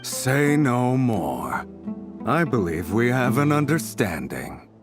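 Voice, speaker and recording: Slow, ruthless desert chief. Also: male voice, mid-range voice, sing-song. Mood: calculating. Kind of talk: video game voice acting. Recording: music under the voice.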